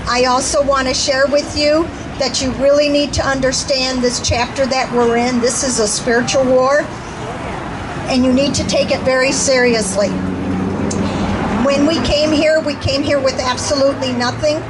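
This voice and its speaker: A woman's voice: high voice, steady tone, moderate pace. A woman from Cuba giving an earnest, urgent political speech at an outdoor rally through a handheld microphone. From born in Cuba, moved to the US as a young child. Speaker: rally speaker, retired public school teacher. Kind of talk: impassioned political stump speech. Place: outdoors under a canopy by a roadside. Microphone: handheld microphone through PA speaker. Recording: noisy outdoor PA with traffic.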